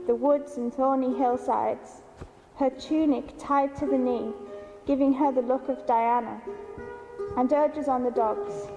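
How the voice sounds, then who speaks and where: Female poetry reader, high pitch, unhurried pace, deliberate short phrases. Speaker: translator reading their own translation. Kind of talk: measured literary poetry reading. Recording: long hall reverb with music.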